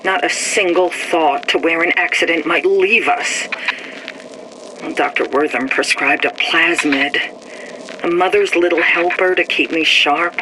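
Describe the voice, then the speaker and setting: A woman's voice: fairly low pitch, a little slow, close to an everyday voice. An actress playing a fretful, prim mother who speaks anxiously in a game audio log. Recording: audio log with steady background noise.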